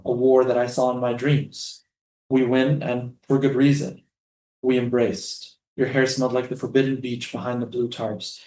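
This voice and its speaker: A man reading the part of a tender, defiant Palestinian refugee prisoner in a staged play, lyrical and deliberate. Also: mid-range voice, a little mellow, natural voice. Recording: roomy, echoing live stage reading.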